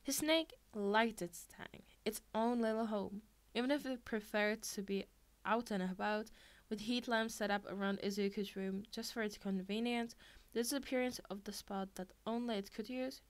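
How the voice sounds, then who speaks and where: A woman reading fiction aloud in an expressive amateur audiobook style. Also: mid-range voice, moderate pace. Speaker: podfic reader.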